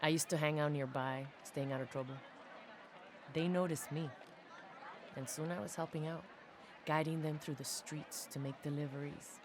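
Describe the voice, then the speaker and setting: A woman's voice: low-pitched, steady tone, moderate pace, pauses often, crowd noise, lightly acted. Casting: actress as street-raised female soldier, reflective and confiding video game log, slight background noise. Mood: reflective, fond. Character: young female special forces soldier, tough favela-raised street kid. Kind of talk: dramatic first-person audio log narration.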